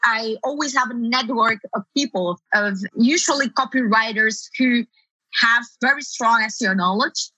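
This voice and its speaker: Bright-voiced woman with a Spanish accent. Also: on the high side, no echo, poor audio. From Latin America. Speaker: guest SEO consultant. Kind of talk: conversational interview answers.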